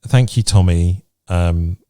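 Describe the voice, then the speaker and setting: Mellow, low-voiced man chatting on a podcast. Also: takes it slowly, clear, resonant tone, no echo, clean studio sound. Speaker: podcast host. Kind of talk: casual podcast chat. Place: remote video chat recording.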